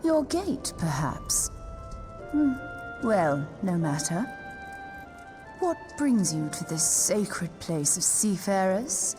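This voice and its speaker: A woman playing a calm, courteous, mysterious investigator in a fantasy game, speaking in formal archaic, pensive phrases. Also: mid-range voice. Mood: polite, curious. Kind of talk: fantasy game cutscene acting. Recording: music under the voice.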